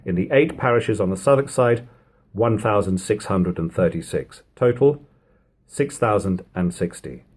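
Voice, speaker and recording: Man reading literature aloud, swooping expressive pitch. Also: moderate pace, breathy edge. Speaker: reader of a classic book. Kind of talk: audiobook-style literary narration.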